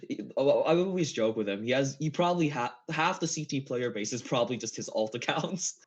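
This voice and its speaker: Halting casual man, dull lo-fi sound. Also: mid-range voice, lively intonation. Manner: halting, often rephrases himself mid-sentence. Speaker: interview guest, game map builder. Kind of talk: casual chat. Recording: dull lo-fi voice recording.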